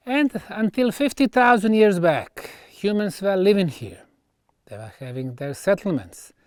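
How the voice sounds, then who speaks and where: A man who speaks English as a second language gives an educational talk, phrasing deliberately with emphatic pauses. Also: on the high side, dry recording, clean studio sound. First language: not English. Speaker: researcher and presenter. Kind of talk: educational talk on archaeology, delivered on site.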